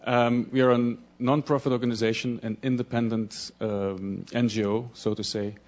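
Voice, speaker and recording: A man from Belgium, a Dutch speaker, giving a conference presentation in a halting way, often restarting as he searches for words. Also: medium pitch, little pitch movement, a little mellow.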